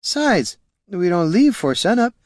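A man's voice: mid-range voice, big pitch swings, a little slow, dry recording, studio quality, pitched above the performer's own voice. A man voicing a drunken female elf ranger for an audiobook, slurred, rambling and woozily insistent. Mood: drunkenly defensive.

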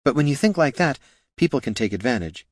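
A man voicing a weary veteran female elf ranger who gives calm, wry advice in a storybook reading. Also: medium pitch, fairly quick, no echo, clean studio sound, voice pushed up.

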